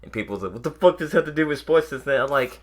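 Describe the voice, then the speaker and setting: A man's voice: medium pitch, lively intonation, very fast. A man co-hosting a casual podcast chat, talking in a slangy, profane, opinionated way. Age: adult, a parent of a young daughter. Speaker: podcast co-host.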